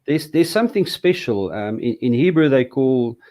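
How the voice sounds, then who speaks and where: A man's voice: medium pitch, expressive, normal speed, dark tone. Hesitant South African man teaching Hebrew. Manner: hesitant, many fillers and restarts. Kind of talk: casual interview conversation.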